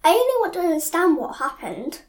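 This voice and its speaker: A woman's voice: extremely high pitch, very animated, brisk. A woman playing a distressed, grieving mother in an audio drama, earnest and emotional. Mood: anguished. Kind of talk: community audio drama acting.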